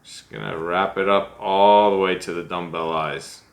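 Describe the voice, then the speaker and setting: A man's voice: low voice, steady tone, normal speed. A middle-aged man in a small room, talking through a fly-tying tutorial step by step in a chatty, self-deprecating way and thinking aloud as he works. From United States. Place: small room at home, seated at a desk.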